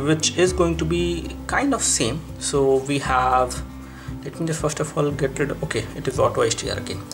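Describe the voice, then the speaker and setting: A man giving an unscripted product comparison review, explaining and thinking aloud in a repetitive way as he demonstrates. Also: fairly high pitch. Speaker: tech reviewer and presenter.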